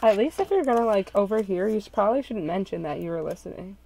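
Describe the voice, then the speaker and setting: A woman's voice: medium pitch, expressive, quick pace, creaky, mellow, a little hiss or hum, low-quality recording. A woman hosting a group read-along, switching between casual joking chat and story narration, often poking fun at herself.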